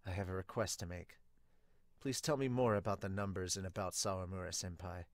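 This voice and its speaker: A man's voice: low-pitched, fairly even intonation, fairly quick, dry recording, pitched below the performer's own voice. An earnest, solemn schoolboy athlete from a manga, voiced by a man. He is polite and subdued, serious in every line, with a dry close sound.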